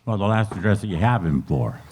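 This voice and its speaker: A man acting a terse, unflappable police officer in an old-style police radio play, speaking in a clipped, polite deadpan. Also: lowish voice, brisk, a little hiss or hum, lightly acted. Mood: deadpan, businesslike. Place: live radio play performance at an event.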